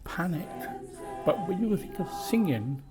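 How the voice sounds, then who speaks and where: A man's voice: sits high, sing-song, measured pace. A man talking with enthusiasm about singing, and he slips into a singsong delivery at times. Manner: enthusiastic, lilting, half-singing phrases. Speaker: singing group participant. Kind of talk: interview testimony. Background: music playing.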